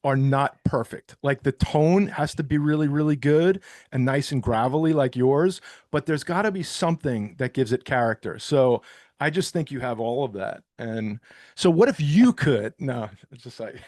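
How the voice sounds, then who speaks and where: A man's voice: mid-range voice, expressive, moderate pace, darkish tone, dry recording, studio quality. A middle-aged man from New York, a guest on a podcast, talking reflectively about his career change with lots of casual fillers. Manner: chatty and reflective, many fillers like you know and um. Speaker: podcast guest, recovery peer advocate. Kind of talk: casual interview conversation.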